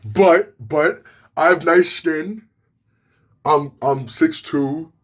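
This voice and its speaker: Young male vlogger, deep, slightly slurred delivery, loose and frank, swears freely. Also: very slow. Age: young adult. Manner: rambling, frank, profane, many restarts. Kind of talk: casual talk to camera.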